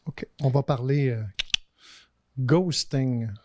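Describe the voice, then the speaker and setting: A man's voice: mid-range voice, slow, darkish tone, no echo, studio quality. A middle-aged man with a Québécois French accent, co-hosting a podcast in a reflective, questioning way. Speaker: podcast co-host. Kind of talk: casual podcast conversation.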